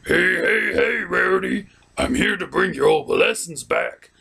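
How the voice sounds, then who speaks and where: A man voicing a clueless, clingy cartoon pony with a gravelly, raspy voice and stammering, repeated greetings. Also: high-pitched, animated, unhurried, bright, forceful tone, full character voice. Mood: eager, pestering.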